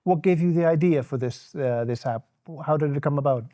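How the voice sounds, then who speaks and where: A man interviewing students, asking questions in a relaxed, conversational way. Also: a little high, a little fast.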